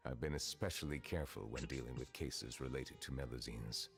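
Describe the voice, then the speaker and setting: A solemn, very deep dragon judge. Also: male voice. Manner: formal, measured, solemn. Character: ancient dragon in human form, grave male judge. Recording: game audio over loud music.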